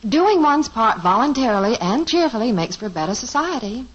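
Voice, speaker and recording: An actress playing a calm, composed middle-aged mother in a 1950s radio sitcom who gently mocks her husband. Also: fairly high pitch, moderate pace, husky. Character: calm, dryly teasing mother and wife. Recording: dull lo-fi old radio transcription.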